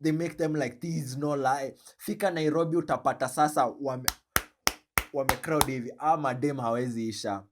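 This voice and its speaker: A man with a Kenyan accent hosting a reaction video, commenting in an animated, joking way and switching between slang and English. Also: mid-range voice, moderate pace, background noise. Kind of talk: commentary on a played clip.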